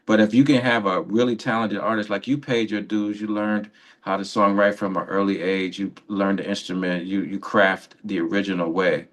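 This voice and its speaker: Low-ish voiced guy on a radio chat, rambling a bit, giving encouraging advice. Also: steady tone, moderate pace. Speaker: radio co-host.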